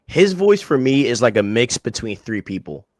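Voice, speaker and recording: A man's voice: mid-range voice, big pitch swings, moderate pace, no room sound. A young man with a mid-range voice chats as a podcast co-host, rambling through slang and fillers before landing his point with emphasis. The sound is dry and close. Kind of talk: casual podcast chat.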